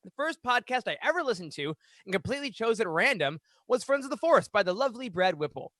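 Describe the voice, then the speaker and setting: A man in his thirties hosting a fan podcast, speaking in an animated, emphatic way into a close microphone. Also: high voice, fast, no echo. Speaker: podcast host. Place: small home room, indoors. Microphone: close studio microphone on boom arm.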